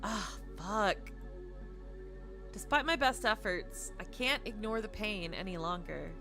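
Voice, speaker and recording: A woman livestreaming a visual novel, switching between playful, chatty asides and expressive read-aloud narration. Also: mid-range voice, unhurried. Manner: playful, chatty, self-deprecating. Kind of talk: casual stream chat mixed with expressive read-aloud narration. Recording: music playing behind the voice. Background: game music.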